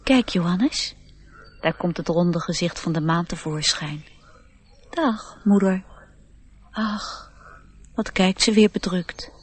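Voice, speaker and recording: Husky, knowing sprite boy. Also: fairly low pitch, slow, long pauses. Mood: proud. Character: proud, knowing male nature sprite, youthful and airy. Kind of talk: radio play acting.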